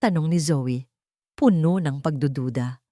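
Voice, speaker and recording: A woman with a fairly low voice narrates a scripted romantic story. Her delivery is measured and earnest, and the recording is dry and close, with little room sound. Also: dark tone, dry recording.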